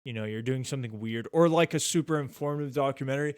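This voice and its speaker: A man's voice: mid-range voice, fast talker, clear, resonant tone, no echo, clean studio sound. A man in his thirties hosting a podcast, chatting casually in a laid-back, rambling way that is full of "like" and "dude". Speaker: podcast host. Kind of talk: casual chat.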